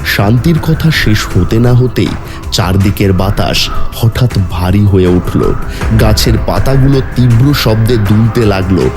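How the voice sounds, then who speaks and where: A man narrating a scripted horror story in a dramatic, suspenseful manner. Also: fairly low pitch.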